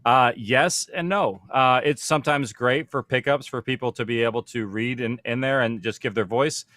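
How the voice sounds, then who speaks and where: A man talks in an informal, conversational way, as a producer presenting on a panel. He works through practical points as if thinking aloud. His voice has a middling pitch and is recorded very dry, with no room sound. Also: moderate pace, fairly bright tone, no room sound. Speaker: audio drama producer, panel speaker.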